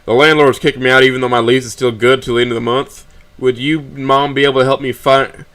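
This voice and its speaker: A man performs a young man just out of high school in a dramatic audio story. He is desperate and resentful, and his words to his father come out raw and emotional. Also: little pitch movement, fast talker, a bit bright, close to an everyday voice. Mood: desperate pleading. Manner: pleading, raw, emotional outbursts.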